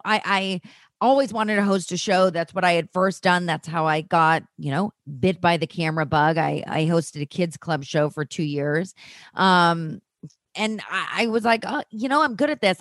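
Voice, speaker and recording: A woman's voice: medium pitch, dry recording, clean studio sound. A woman actress as a podcast guest, telling stories in casual chat, laughing often and swearing freely.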